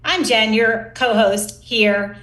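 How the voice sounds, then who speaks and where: A woman's voice: on the high side, slow pace, a bit bright. A woman co-hosting a podcast, asking questions in a conversational interview style. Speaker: podcast co-host. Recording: roomy, echoey sound.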